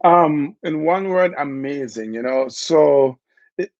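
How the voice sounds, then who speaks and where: Brief: middle-aged Jamaican man, gravelly voice, easygoing storyteller, lo-fi dry recording. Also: on the high side, slow pace, dry recording. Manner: relaxed, chatty, frequent fillers and laughs. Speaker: interview guest, former Olympic bobsledder. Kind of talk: casual storytelling interview.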